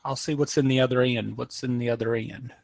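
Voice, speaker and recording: A man's voice: mid-range voice, steady tone, fairly quick, creaky, dry recording. A man with a mid-range voice speaks casually, checking details and asking questions. The recording is close and dry. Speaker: player. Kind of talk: tabletop game play.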